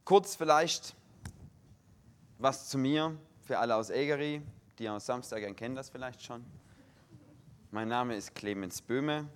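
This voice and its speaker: A young man from Saxony giving a sermon in a full church hall in standard German with a faint Saxon tinge, slow and measured, stressing single words. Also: medium pitch, smooth. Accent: standard German with a slight Saxon tinge. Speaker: guest preacher.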